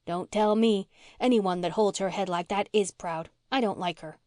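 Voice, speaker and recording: A woman voicing a scrappy orphan girl who talks in a rough, blunt country dialect. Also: mid-range voice, moderate pace, a little mellow, dry recording, studio quality, close to an everyday voice. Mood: jealous.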